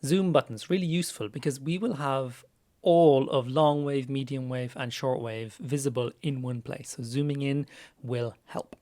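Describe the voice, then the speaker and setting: A man's voice: medium pitch, fairly even intonation, normal speed, dark tone. A man presenting a casual, conversational screen-share tutorial in an informal way, with small hesitant asides. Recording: clear background noise.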